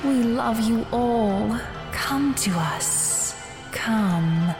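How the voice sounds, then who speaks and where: An unhurried, seductive siren spirit beckons in an audio drama. She draws out every word with coaxing, false affection, over clearly audible music. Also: on the high side, lively intonation, exaggerated voice. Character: alluring female siren spirit, slow, coaxing, falsely loving.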